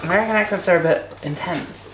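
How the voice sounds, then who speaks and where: A soft-spoken British woman with dry humor, chatting as co-host while cooking at home. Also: lowish voice, fast. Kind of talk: casual chat while cooking. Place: home kitchen. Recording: echoey room with background noise.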